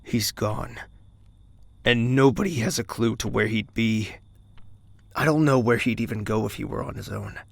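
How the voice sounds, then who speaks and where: An actor playing a guarded, devoted young prince in an audio drama, husky and hushed, speaking with brooding earnestness. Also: male voice, fairly low pitch, no echo, exaggerated voice. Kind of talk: intimate audio drama acting with inner monologue.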